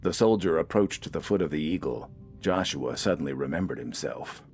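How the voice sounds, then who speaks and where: A man reading a scripted dramatic story in a measured, deliberate storytelling manner. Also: a bass voice, animated, darkish tone, slight background noise. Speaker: audiobook narrator.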